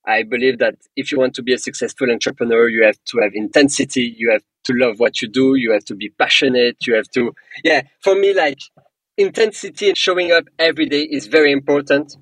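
A man's voice: mid-range voice, steady tone, brisk, a bit bright, no room sound, low-quality recording. A man from Belgium with a French accent, a startup co-founder answering podcast interview questions in an earnest, motivational way with small non-native grammar slips.

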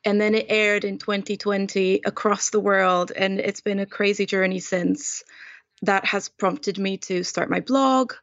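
A woman's voice: medium pitch, steady tone, measured pace, dry recording. A woman from Romania, a food blogger, telling her story casually as a podcast guest over slightly dull audio.

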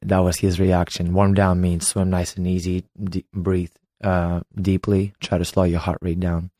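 Guy, late 20s, deep monotone, dry close sound, slow halting storytelling. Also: no room sound. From Ukraine. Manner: unhurried, halting, recounting a memory. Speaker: former competitive swimmer. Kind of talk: personal storytelling.

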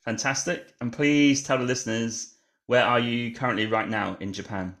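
A man's voice: medium pitch, steady tone, moderate pace, a bit bright. A man hosting a podcast, reading an upbeat introduction and then asking casual interview questions. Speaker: podcast host.

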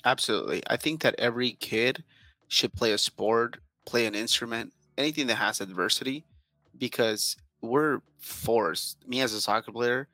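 A man's voice: medium pitch, normal speed, pushed and bright, no room sound. A man from Southern California whose first language is Spanish, telling his life story earnestly as a guest in an interview and pressing a motivational point. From Huntington Park, California. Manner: earnest, motivational, speaks in short repeated phrases. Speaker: guest entrepreneur.

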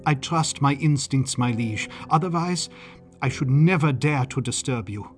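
A man's voice: mid-range voice, measured pace, soft background music, natural voice. A man voicing a rigid, zealous police lieutenant who speaks earnestly and blurts out his devotion.

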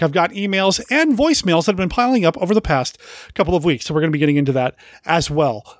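A man hosts a podcast with a high, nasal voice, stuffed up from a head cold. He talks with eager enthusiasm, and music plays clearly behind him. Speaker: podcast host.

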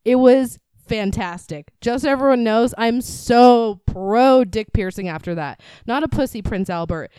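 A woman's voice: medium pitch, a little mellow, clear, resonant tone, no echo. A woman co-hosting a casual chat, blunt and profane, laughing often. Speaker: podcast co-host.

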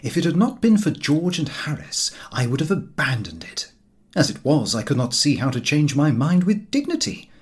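Man reading an audiobook, husky voice, really lively pitch, expressive comic storytelling. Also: normal speed. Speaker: audiobook reader. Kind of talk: scripted comic literary narration.

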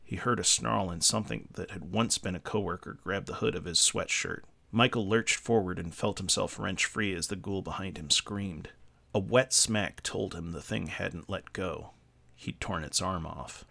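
A man's voice: low-pitched, normal speed, gravelly, pushed and bright. A man reading scripted horror fiction as a podcast narrator, with music behind him.

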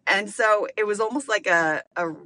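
A woman's voice: mid-range voice, moderate pace, bright, forceful tone. A woman in her late thirties hosting a podcast, talking through a casual solo monologue in a candid, rambling way full of filler words. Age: late 30s. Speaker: podcast host.

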